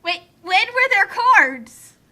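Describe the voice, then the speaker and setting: High, bright-voiced woman commenting. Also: big pitch swings, takes it slowly. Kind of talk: joking casual commentary.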